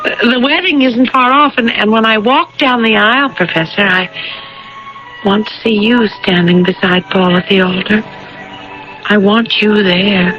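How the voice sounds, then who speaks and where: An actress playing a gentle, insistent young bride-to-be in a vintage radio drama, with deliberate, pleading delivery. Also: female voice, mid-range voice, faint music behind, natural voice. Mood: tender insistence. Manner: slow, deliberate, pleading, repeats phrases. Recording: dull vintage radio broadcast recording.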